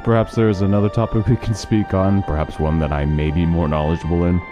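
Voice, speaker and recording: Guy doing a learned fantasy doctor, low voice, formal n careful, guarded, music behind him. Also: steady tone, muffled recording, natural voice. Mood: patient, explaining. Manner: formal, deliberate, hesitant. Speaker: voice actor in an interactive fantasy audio story. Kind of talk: fantasy audio drama acting.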